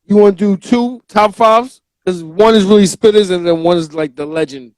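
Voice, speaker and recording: A man's voice: sits high, normal speed, no echo. A man co-hosting a casual interview, interjecting and repeating his words as he asks questions. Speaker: co-host asking questions.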